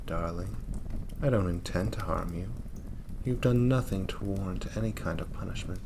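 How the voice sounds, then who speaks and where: Casting: male actor for an imperious, seductive demon prince, slow and theatrical, audio drama with fire ambience and noticeable background noise. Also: low voice. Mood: teasing, commanding. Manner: silky, teasing, theatrical, unhurried. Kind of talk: intimate flirtatious roleplay audio drama. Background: crackling fire ambience.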